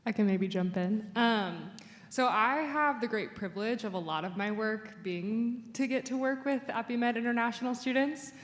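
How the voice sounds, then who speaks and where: A woman's voice: a little high, moderate pace. A woman who works with international students, speaking earnestly on a panel with frequent fillers. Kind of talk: panel remarks. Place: conference hall. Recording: echoey hall.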